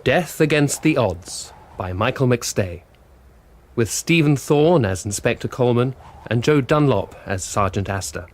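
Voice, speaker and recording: A man reading a scripted radio announcement as announcer. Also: medium pitch.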